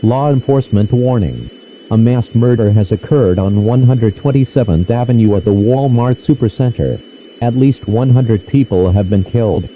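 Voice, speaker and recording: A man's voice: medium pitch, normal speed. A man reading a formal scripted emergency broadcast announcement in a stiff, official manner. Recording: dull lo-fi radio-style audio, noisy.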